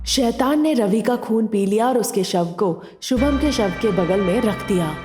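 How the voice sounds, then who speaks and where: A woman's voice: medium pitch, lively intonation, measured pace, long reverb tail, over music. A woman narrating a scripted story as a storyteller.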